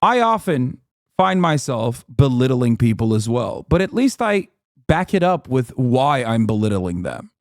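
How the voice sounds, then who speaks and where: A man delivering short remarks in a measured, scripted-sounding way. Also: medium pitch, big pitch swings, no room sound, studio quality.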